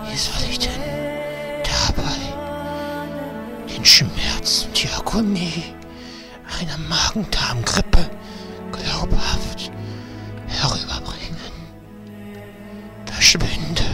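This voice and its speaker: A man voicing an indignant hardcore role-player in a theatrical, pained, drawn-out manner for a comedy audio play. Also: sits high, voice pushed up, full character voice.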